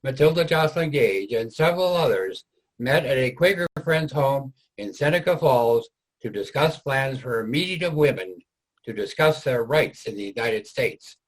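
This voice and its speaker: Husky male stage narrator. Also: mid-range voice, lively intonation, moderate pace, low-quality recording. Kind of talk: scripted historical narration for reader's theater.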